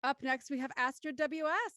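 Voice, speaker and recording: A woman's voice: sits high, moderate pace, pushed and bright, no echo. Woman hosting, close dry sound. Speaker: host of a cast discussion. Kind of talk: conversational moderating of a panel.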